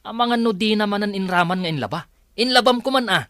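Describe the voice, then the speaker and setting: A man with an Ilocano accent playing a gruff, entitled, bossy man of the house in a radio drama. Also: high-pitched, fast talker, sharp tone. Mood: irritated.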